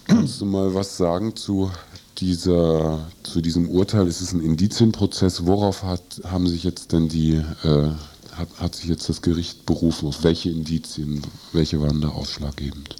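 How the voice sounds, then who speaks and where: Male radio host, very deep relaxed voice, hesitant interviewer who reworks his questions as he goes. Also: normal speed. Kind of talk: live radio interview, halting spoken questions.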